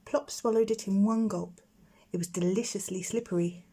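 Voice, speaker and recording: A woman with a slightly husky, mid-pitched voice narrates a storybook for young children. Her delivery is expressive, like a teacher reading aloud to a class. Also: normal speed.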